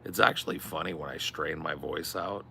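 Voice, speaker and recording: A man with a strained, raspy voice chatting casually on a stream, rambling and swearing a lot. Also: rumbling low, moderate pace, pushed and bright, slight background noise. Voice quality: gravelly. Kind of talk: casual chat.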